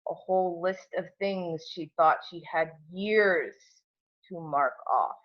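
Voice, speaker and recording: Slow, cold old father, acted out, dull sound. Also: medium pitch, close to an everyday voice. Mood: cutting. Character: slow-spoken, cold, controlling old father after a stroke. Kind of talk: amateur at-home table read of a film script.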